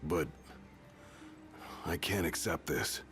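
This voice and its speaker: A very deep-voiced gruff bodyguard. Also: male voice, takes it slowly, fairly bright tone, heavily acted. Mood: reflective. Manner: gruff, blunt, cocky. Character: brawny young male royal bodyguard, blunt and cocky. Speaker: video game character. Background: game score music.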